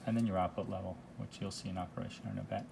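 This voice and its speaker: A man presenting a casual product demo in a halting, unscripted, explanatory way. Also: deep voice, fairly even intonation, creaky, noisy background. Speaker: presenter demonstrating vintage audio gear.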